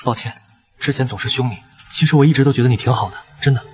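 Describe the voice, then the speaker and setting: A young man playing an earnest, easily flustered noble heir, hesitant and insecure, in an animated costume drama. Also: mid-range voice, quick pace, lightly acted. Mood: sincere, apologetic.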